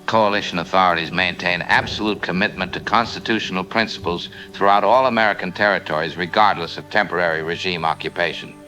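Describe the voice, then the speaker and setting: A man's voice: deep voice, fast talker, few pauses, sharp tone, soft background music, rough recording. A man playing an unyielding, defiant coalition spokesman, speaking in a formal, rigid, declamatory way in an audio drama. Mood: defiant, resolute. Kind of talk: scripted audio drama political statement.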